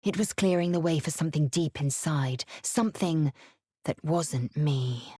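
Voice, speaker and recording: A woman narrating a video game, telling the story in a measured, atmospheric way. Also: deep voice, mellow, slightly rough, no echo, clean studio sound. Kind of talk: scripted first-person game narration.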